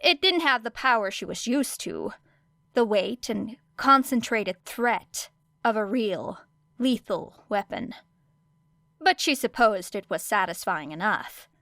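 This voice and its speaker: A woman's voice: medium pitch, expressive, takes it slowly, sharp tone, dry recording. A woman reading scripted fiction as an audiobook narrator.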